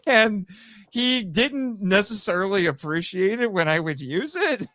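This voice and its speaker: Bright-voiced man chatting animatedly as a guest. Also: high voice, a little slow, no echo, studio quality. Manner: animated, chuckles often while talking. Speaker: podcast guest, voice actor and writer. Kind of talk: casual interview chat, telling anecdotes.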